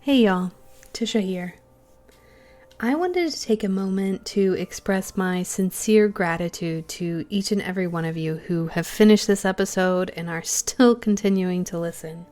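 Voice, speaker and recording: A woman's voice: medium pitch, normal speed, a little mellow, soft background music. A woman delivering a scripted thank-you announcement to podcast listeners as a member of the cast.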